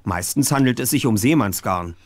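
A man's voice: medium pitch, animated, moderate pace, no room sound. An actor voicing a clever, self-assured young male detective in an adventure game, with precise, bookish diction. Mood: explanatory.

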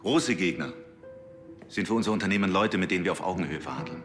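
An actor playing a haughty, defensive energy company representative in a TV crime comedy, formal and condescending. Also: male voice, low-pitched, animated, fast, a bit bright, faint music behind.

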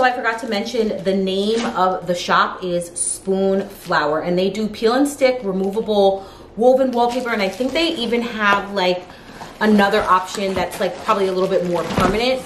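A woman in her thirties chatting casually to camera as a lifestyle vlogger, informal and peppered with "like", recorded in a living room. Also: medium pitch. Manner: chatty, informal, frequent "like" as filler. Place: living room of an apartment, indoors. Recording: roomy echo, clear background noise.

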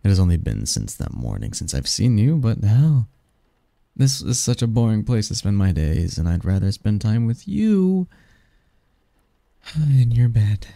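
A man playing a possessive, teasing sleep demon lover in an intimate ASMR roleplay, speaking softly in formal, flirtatious phrases. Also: lowish voice, huge pitch swings, a little slow, gravelly, no echo, exaggerated voice. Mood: affectionate. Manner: flirtatious, formal wording, soft intimate delivery, chuckling.

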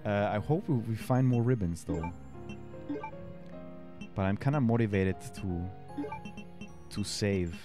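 German-accented streamer playing a horror game. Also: male voice, on the low side, slow, pauses often, music in the background. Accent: German-accented English. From Austria. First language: Spanish. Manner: chatty, thinks aloud, reads game text, answers chat. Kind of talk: casual let's-play commentary. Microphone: headset mic at a desk.

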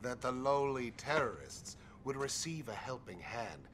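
An actor playing a menacing, theatrical terrorist leader with a sly, gloating manner in a video game cutscene. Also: male voice, mid-range voice, very animated, a little slow, fairly bright tone, slight background noise.

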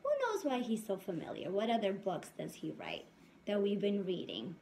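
A woman in her thirties, a first-grade teacher reading aloud to young children and asking them questions in an animated, instructive way. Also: mid-range voice, normal speed, vocal fry, slight background noise. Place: indoors in a home room.

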